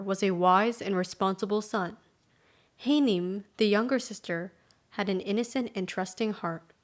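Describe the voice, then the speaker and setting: A woman storyteller reading a scripted folktale narration. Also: medium pitch.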